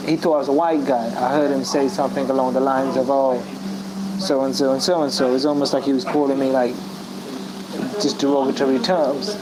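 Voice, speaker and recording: A man's voice: medium pitch, fairly even intonation, fairly quick, crowd noise, poor audio. A man in a casual group discussion, rambling through a personal story with many restarts and fillers. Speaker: discussion guest. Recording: audible background noise.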